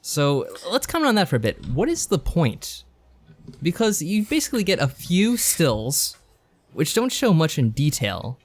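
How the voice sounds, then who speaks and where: A man's voice: fairly high pitch, wildly swooping pitch, a little slow. Wry man in casual podcast conversation. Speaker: podcast co-host. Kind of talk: casual review chat about visual novels.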